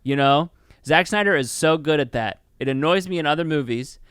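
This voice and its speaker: A man co-hosting a podcast, chatting casually in an excitable, rambling way with plenty of swearing. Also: fairly high pitch, fairly quick, clean tone, dry recording, clean studio sound. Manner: excitable, profane, rambling enthusiast. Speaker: podcast co-host reviewing a film. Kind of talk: casual chat.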